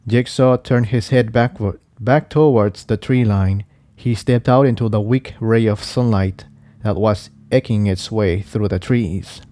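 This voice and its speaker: A man's voice: fairly low pitch, a little slow, mellow, dry recording. A man reading a scripted adventure story as narrator, in audiobook style. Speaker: fan fiction narrator.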